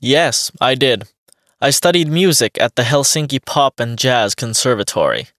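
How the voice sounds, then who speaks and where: A man's voice: medium pitch, animated, normal speed, a bit bright, no echo, lightly acted. A man voicing a friendly young male beatboxer answers a radio host's questions in a mid-range voice. His delivery is plain and evenly paced, like a scripted language-course dialogue. The sound is dry and close, with no room around it. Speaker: interviewee.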